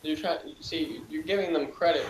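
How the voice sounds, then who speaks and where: A man's voice: fairly high pitch, steady tone, normal speed. A man co-hosting a casual review chat, rambling and dismissive with lots of fillers. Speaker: podcast co-host. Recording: echoey room with faint noise.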